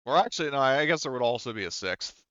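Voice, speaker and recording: A man's voice: medium pitch, animated, rapid-fire, fairly bright tone, smooth, dry recording, highs cut off. A man casually improvising as game master of a tabletop roleplaying game.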